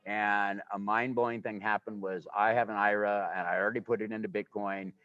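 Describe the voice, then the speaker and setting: A man's voice: on the low side, flat delivery, brisk, a bit bright, no echo. A man in his sixties, talking casually and enthusiastically as a guest, rambling from one point to the next. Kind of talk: casual conversation. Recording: dull, muffled livestream audio.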